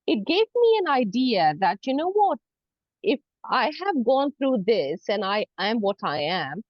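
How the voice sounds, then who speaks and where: A woman from Saudi Arabia with a fairly high, very animated voice answers interview questions in English, which is not her first language. She explains earnestly and conversationally, peppered with "you know". The sound is close and dry but a little lo-fi. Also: unhurried, no echo. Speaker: guest, university lecturer in academic English.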